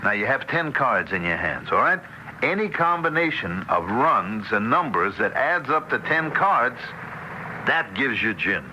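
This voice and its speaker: An actor playing a gruff, worldly-wise American husband, brusque and anxious, in mid-century radio drama style. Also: male voice, medium pitch, big pitch swings, measured pace, sharp tone, heavily acted, rough recording. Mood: brisk, instructive. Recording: hissy old radio play recording.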